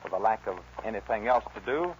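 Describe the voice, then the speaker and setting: A man's voice: fairly low pitch, expressive, a little fast. 1940s radio announcer man, dull noisy recording.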